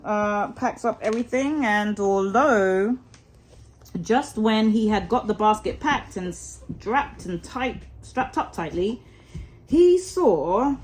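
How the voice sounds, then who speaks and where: Expressive woman reading a storybook aloud. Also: a little high, rough recording.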